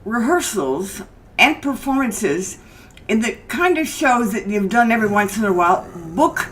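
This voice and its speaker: An older woman in her seventies or above, co-hosting a casual interview in an animated way. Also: fairly high pitch, unhurried, poor audio. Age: older, 70s or above. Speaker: interviewer and co-host.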